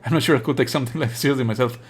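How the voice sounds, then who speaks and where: Male game streamer, mid-pitched voice, sardonic and exasperated, swears freely, switches between reading on-screen text and ranting. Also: racing, husky. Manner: exasperated, sarcastic, animated outbursts; frequent swearing. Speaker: streamer playing and commenting on a visual novel. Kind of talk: live let's play commentary, reading game text aloud.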